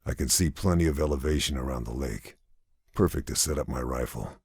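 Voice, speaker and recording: An actor playing a grim, brooding WWII sniper who narrates his missions in a terse, deliberate, gravelly video game voice. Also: extremely low pitch, dry recording, clean studio sound, full character voice. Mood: grim, determined. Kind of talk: scripted dramatic mission narration.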